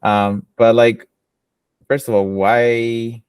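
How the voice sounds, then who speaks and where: A man's voice: medium pitch, level tone, takes it slowly, a little mellow, clear, resonant tone, no echo, clean studio sound. A man hosting a podcast, talking in a laid-back, jokey way with lots of "like" and "you know.". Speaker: podcast host. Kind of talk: casual interview chat.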